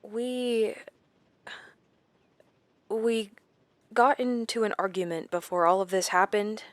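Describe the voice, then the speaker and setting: An actress playing a shaken woman recounting her captivity in a horror audio drama, halting and dramatic with deliberate pauses. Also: medium pitch, dry recording, lightly acted. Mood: shaken, unsure. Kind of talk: first-person horror audio drama narration.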